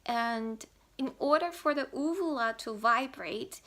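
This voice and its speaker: A woman's voice: high-pitched, unhurried, a bit bright. A young woman from Taiwan with a Mandarin accent, speaking English as she explains to camera how she learned a pronunciation, with many fillers and restarts. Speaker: language learner vlogger.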